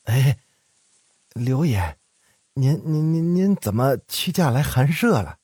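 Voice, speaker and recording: A man's voice: mid-range voice, lively intonation, slow, a little mellow, husky, no room sound, voice pushed up. A man voicing a flustered servant in an audio story, stammering and obsequious toward his superior. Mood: fawning. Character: fawning, flustered male underling servant.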